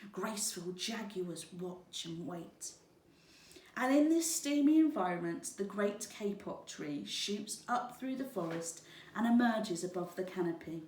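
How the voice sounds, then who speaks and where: A woman reading a children's story aloud in a deliberate, expressive teacher's style. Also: lowish voice. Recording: roomy, echoing, distant microphone.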